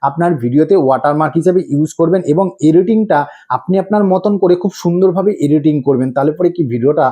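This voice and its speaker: Fast-talking, high-pitched man giving instructions. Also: dark tone. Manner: instructive, repetitive, prompting viewers with rhetorical questions. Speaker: tutorial host. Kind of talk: step-by-step screen tutorial.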